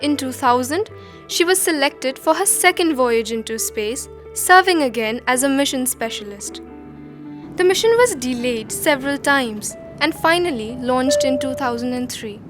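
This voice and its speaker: Lady narrator reading a documentary script, really high voice, measured and solemn, bit of music underneath. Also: lively intonation, fairly bright tone.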